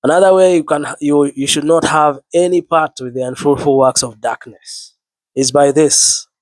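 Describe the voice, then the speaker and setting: A man with the flu preaching earnestly to his viewers, his voice low and worn from illness, often repeating himself. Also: fairly even intonation, normal speed, no room sound. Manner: earnest, repeats words, biblical phrasing. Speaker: online preacher. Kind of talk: spoken sermon to camera.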